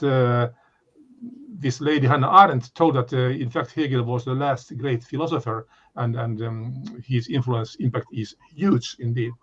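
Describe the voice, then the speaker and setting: An older man from Finland with a Finnish accent, giving an unscripted academic talk in a halting way, full of fillers and restarts. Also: medium pitch, a bit bright. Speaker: lecturer. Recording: dull lo-fi recording.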